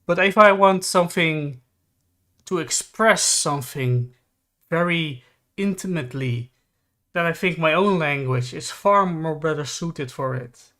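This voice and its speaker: Slightly high-voiced Dutch-speaking man. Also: measured pace, a bit bright. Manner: thoughtful, searching for words. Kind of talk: casual opinion chat.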